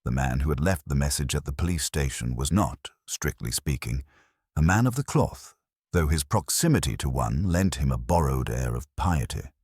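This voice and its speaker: A man reading a scripted mystery story in a measured, deadpan and wry manner. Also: a bass voice, breathy edge, dry recording, clean studio sound. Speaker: audiobook narrator.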